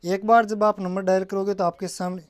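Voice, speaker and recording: A man's voice: high-pitched, flat delivery, brisk. A man presenting a casual step-by-step tech tutorial, often addressing viewers as guys and mixing in English terms.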